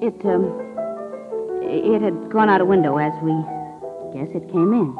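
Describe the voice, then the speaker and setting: A woman's voice: lowish voice, unhurried, close to an everyday voice. An actress playing the composed female companion of a crime photographer in a 1940s radio drama. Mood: thoughtful. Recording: tinny old radio recording, loud music.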